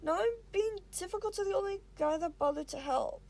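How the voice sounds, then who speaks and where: A woman's voice: very high voice, fairly quick, darkish tone, voice pushed up. A woman voicing a self-doubting, dramatic young male student in a story, with emotional, stammering complaints. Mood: upset, apologetic.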